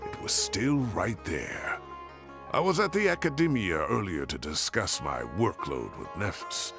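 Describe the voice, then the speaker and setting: A man voicing an ageing, wry and sentimental professor with a drawn-out, self-deprecating manner in a video game. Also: on the low side, big pitch swings, over music. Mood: delighted, nostalgic. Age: older. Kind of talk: animated video game voice acting.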